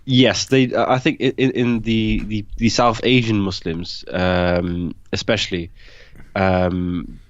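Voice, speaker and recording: A man raised in the UK, born in Pakistan, hosting a casual interview and telling animated anecdotes that act out what others said. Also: fairly low pitch, slow, lo-fi sound. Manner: animated storyteller, acts out quoted voices. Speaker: podcast host.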